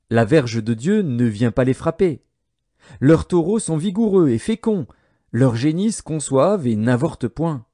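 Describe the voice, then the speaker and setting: A man narrating an audio Bible in a solemn, measured way, enunciating each phrase with care. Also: mid-range voice, dark tone. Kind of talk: scripted scripture narration.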